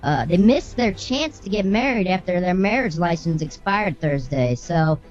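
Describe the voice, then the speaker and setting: A woman's voice: lowish voice, lively intonation, normal speed. A brash, bossy woman co-hosting a show, reading the news with casual comic banter and swearing. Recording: slightly muffled, music underneath.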